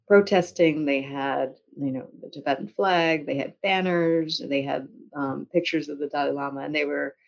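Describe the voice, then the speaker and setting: American female journalist as interview guest, measured conversational storyteller with pauses, muffled low-fidelity recording. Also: steady tone, gravelly. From United States. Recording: dull, lo-fi audio.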